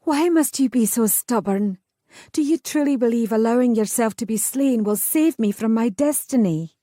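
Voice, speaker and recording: Firm protective young sister, high and soft-toned, a woman doing her. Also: animated, moderate pace, no room sound, studio quality. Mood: pleading.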